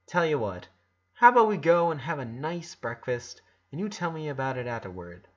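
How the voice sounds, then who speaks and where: A man acts out a tough but caring young girl in a storybook reading. The voice is husky and the manner guarded, terse and tentative. The sound is slightly dull and lo-fi. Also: on the high side, big pitch swings, moderate pace. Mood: friendly, modest.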